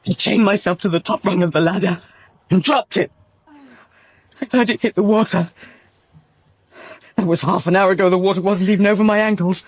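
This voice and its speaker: A man's voice: high voice, steady tone, brisk, pauses often, breathy edge, no echo. A British actor playing a guilt-ridden, despairing young man who is resigned to death, in an audio drama. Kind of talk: full-cast audio drama acting.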